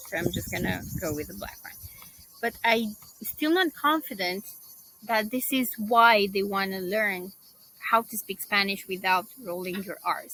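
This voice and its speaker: A woman copywriter talks through her research for a lesson, reading snippets aloud and pausing to weigh them. Her pace is unhurried and her voice is bright. A faint background noise runs under the recording. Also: medium pitch, rough recording. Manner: musing, reading search results aloud, frequent fillers. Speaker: copywriting tutor. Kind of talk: screen-share tutorial, thinking aloud.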